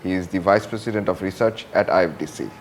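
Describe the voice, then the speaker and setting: Formal low-voiced male host. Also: fast talker, slight background noise. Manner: formal and polished, reading prepared lines. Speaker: interview host. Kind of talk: scripted interview introduction.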